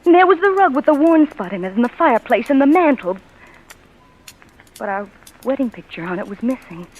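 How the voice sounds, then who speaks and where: An actress playing a bewildered, frightened young wife who narrates in a stammering, breathless way, in a 1940s radio drama. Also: female voice, high voice, animated, a little fast, slight background noise, poor audio. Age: young adult. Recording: old radio broadcast with music.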